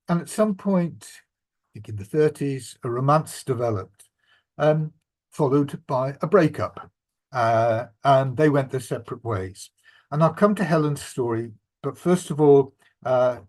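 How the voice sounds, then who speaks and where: Measured older man giving a talk. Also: mid-range voice, a little mellow. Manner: measured, reflective, with fillers. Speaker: presenter and author. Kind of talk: illustrated family history talk.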